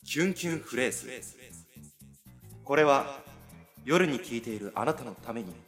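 A man's voice: mid-range voice, expressive, moderate pace, a bit bright, faint music behind. A man hosting a radio show, moving between casual chat and announcements.

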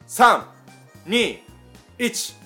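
A woman's voice: on the high side, big pitch swings, drawn-out words, sharp tone. A woman hosting a casual radio interview, teasing and jokey, often echoing what the guest says. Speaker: radio show host. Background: music.

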